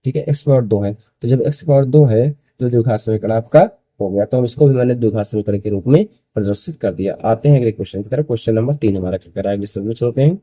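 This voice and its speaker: A young man in his early twenties with a very dark, soft-edged tone and a close, dry sound. He teaches maths step by step at a medium pitch. He repeats key phrases and keeps posing questions, then answers them himself. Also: quick pace, few pauses, dry recording. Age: early 20s. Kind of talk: step-by-step school maths lesson.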